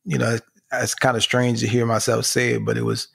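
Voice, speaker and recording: A middle-aged man speaking casually as a podcast guest, his voice hoarse from screaming, laughing often. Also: mid-range voice, flat delivery, fairly quick, a bit bright. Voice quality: gravelly, husky. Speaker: podcast guest, company CEO. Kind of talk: casual conversation.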